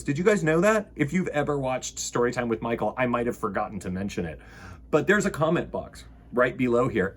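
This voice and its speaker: Expressive male children's storyteller, slightly husky. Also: mid-range voice. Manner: expressive, emphatic, addresses young listeners directly. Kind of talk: animated picture-book reading and chat to children.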